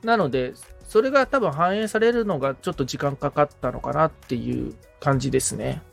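A man's voice: a little high, expressive, a little fast, creaky, soft background music. A man chatting casually as a podcast assistant, agreeable and reflective as he takes advice. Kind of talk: casual conversation with frequent listening responses.

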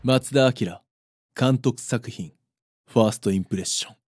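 A man playing a solemn narrator, announcing deliberately in a dramatic audio drama trailer. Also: mid-range voice, darkish tone, no echo, clean studio sound, faint music behind, natural voice.

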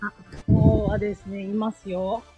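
Female guest, medium pitch, casual talk, faint music underneath. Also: little pitch movement, normal speed, warm tone, lo-fi sound. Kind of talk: casual chat.

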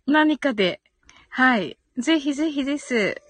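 High-pitched, giggly woman chatting on stream. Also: lively intonation, unhurried, no room sound. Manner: giggly, frequent laughter while talking; chatty, responsive, frequent short acknowledgements. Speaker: host of a live audio stream. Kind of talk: casual chat, reading out listener comments.

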